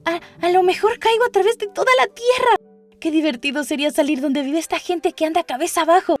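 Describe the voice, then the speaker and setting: A woman's voice: very high voice, fairly quick, soft background music, voice pushed up. A woman voicing a curious, dreamy young girl who chatters and muses to herself in a storybook. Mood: chattering, curious. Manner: musing aloud, questioning, wordy. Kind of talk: storybook character voice.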